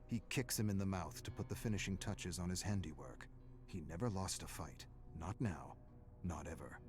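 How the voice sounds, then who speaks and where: A man reading a dramatic scripted story in a measured, brooding way, in short clipped phrases. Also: low-pitched, lively intonation, darkish tone. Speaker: narrator.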